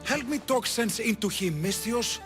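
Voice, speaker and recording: A man's voice: very high voice, lively intonation, moderate pace, music in the background. An actor playing a caring, flirtatious male caretaker in a video game cutscene. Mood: worried.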